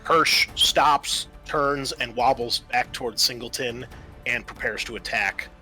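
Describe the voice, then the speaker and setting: A man's voice: mid-range voice, measured pace, pushed and bright, soft background music, low-quality recording. A man acting as game master, narrating a tabletop game and explaining things conversationally.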